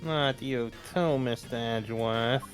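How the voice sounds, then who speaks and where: A fan dub performer voicing a good-natured, slow-witted male police detective with a folksy, hesitant manner. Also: mid-range voice, music in the background, close to an everyday voice. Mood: confused, pleading. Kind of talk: hobby fan dub of a video game.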